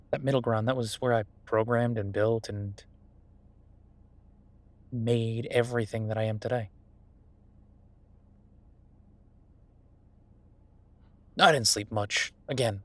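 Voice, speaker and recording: A dry, close recording of a man acting as a friendly young-money CEO in an intimate audio roleplay. His pitch sits in the middle, and he rambles casually and self-deprecatingly, tripping over his words like an awkward old friend. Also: no echo, close to an everyday voice. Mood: relaxed, reflective. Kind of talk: intimate one-sided roleplay audio drama, conversational.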